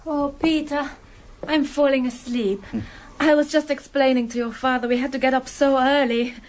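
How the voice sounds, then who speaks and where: A polite young girlfriend in a radio drama, played by an actress. Her high voice is chatty and open. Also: fairly even intonation, normal speed, close to an everyday voice, poor audio. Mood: polite, sleepy. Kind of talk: broadcast radio drama acting.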